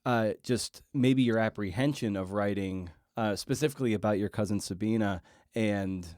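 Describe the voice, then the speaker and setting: A man with a fairly low voice interviews in a casual, conversational way. He feels his way haltingly toward each point. The sound is dry, with no room echo. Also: a little mellow. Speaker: podcast host, interviewer.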